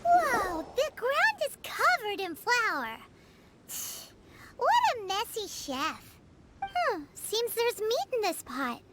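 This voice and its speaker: A woman voicing a tiny, childlike, excitable female fairy companion in a video game, squeaky and bubbly, chattering and exclaiming. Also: slow, noisy background, exaggerated voice. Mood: puzzled. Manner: bubbly, exclamatory, refers to self in third person. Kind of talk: animated video game voice acting. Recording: music playing behind the voice.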